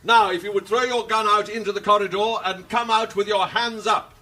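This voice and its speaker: A man playing a coolly persuasive villain boss in a radio thriller, formal and measured as he calls out. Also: sits high, fairly even intonation, bright, forceful tone. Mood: calm ultimatum.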